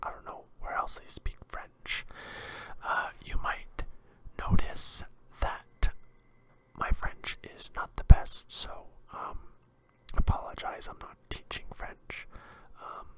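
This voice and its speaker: An ASMR host from Quebec with a Canadian accent, rambling in a halting, hesitant whisper.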